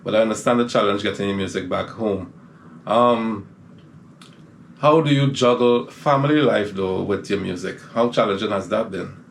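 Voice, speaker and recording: Male podcast host, low voice, affirming, faint background noise. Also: normal speed, gravelly. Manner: encouraging, frequent affirming "right". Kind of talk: casual interview.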